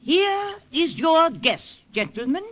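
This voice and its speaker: A genteel missionary widow who works as a secret agent. Her voice is high, bright and very lively, with precise, blithely polite diction. It comes from an old radio drama with faint noise. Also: takes it slowly, heavily acted. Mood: brightly gracious. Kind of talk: 1940s-50s radio drama acting.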